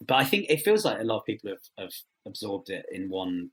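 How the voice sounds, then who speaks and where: A man's voice: on the low side, sing-song, slightly rough. A man answering interview questions as a writer, thoughtful and hesitant, often rephrasing as he goes. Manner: hesitant, halting phrasing with many trailing rephrasings.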